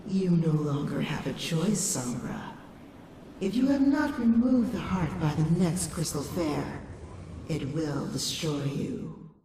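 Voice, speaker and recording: A woman's voice: low-pitched, expressive, slow, warm tone, full character voice. A woman voicing a sinister, manipulative shadow creature in a comic dub, speaking in a coaxing, ominous manner. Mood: commanding. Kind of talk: dramatic comic dub acting. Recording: echoing hall reverb, distant, faint noise.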